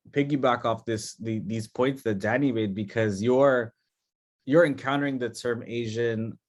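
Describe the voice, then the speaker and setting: A man moderating a panel, posing questions thoughtfully and restarting his phrasing. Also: medium pitch. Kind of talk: panel discussion.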